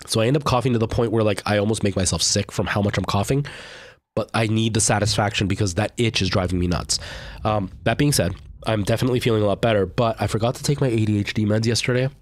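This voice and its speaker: A man's voice: lowish voice, fairly even intonation, quick pace, dry recording. A young man with a stuffed-up nose and a lingering cough, chatting casually with viewers while live-streaming a game, rambling with lots of fillers.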